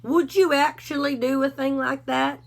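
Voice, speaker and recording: A woman's voice: high-pitched, fairly even intonation, moderate pace, vocal fry, pitched above the performer's own voice. A woman voicing a self-important male cowdog who talks in a dry, wry, drawling way.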